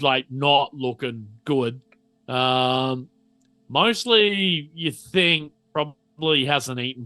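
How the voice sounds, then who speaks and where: A man runs a tabletop roleplaying game in a bright, forward voice. He takes his time, feeling out each description conversationally. The sound is close and dry. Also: on the high side, no room sound. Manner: halting, conversational scene-setting. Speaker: game master. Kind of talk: improvised tabletop game narration.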